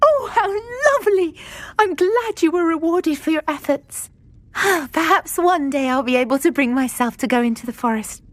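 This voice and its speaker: A gentle British witch for a fantasy game. Her voice sits high and is recorded dry. She speaks in a genteel, fluttery way, timid and wistful. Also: lively intonation, normal speed, no echo. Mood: excited, delighted. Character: gentle, wistful, timid middle-aged witch, fond of butterflies. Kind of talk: video game voice acting.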